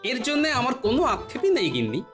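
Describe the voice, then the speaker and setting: An actor voicing a clever, calm male court jester in a cartoon, reasoning gently and teasingly. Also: mid-range voice, racing, faint music behind. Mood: earnest.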